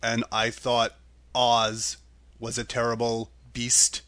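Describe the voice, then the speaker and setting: A man voicing a stern, earnest tin man in a storybook, speaking in a deliberate, measured way. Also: mid-range voice, completely flat delivery, a bit bright, no room sound. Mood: dismayed.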